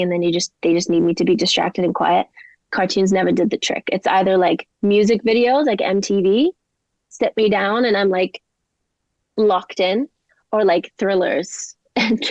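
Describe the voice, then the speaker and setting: A woman's voice: medium pitch, a little mellow, dry recording, highs cut off. Chatty woman, mixed Canadian-English accent. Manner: chatty, rambling, lots of likes and ums. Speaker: singer-songwriter guest. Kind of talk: casual interview chat.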